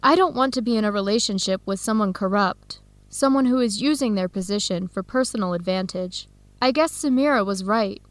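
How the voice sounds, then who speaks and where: A woman's voice: mid-range voice, brisk, no room sound, close to an everyday voice. A woman playing an earnest, disheartened young woman in an educational radio drama, speaking emotively. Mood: regretful, resigned. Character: Helen, disheartened twenty-two-year-old American woman, earnest.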